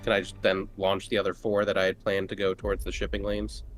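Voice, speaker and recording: A man with a fairly low voice talks casually as a player in a tabletop roleplaying game. Light music plays behind him. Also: monotone, quick pace. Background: background music.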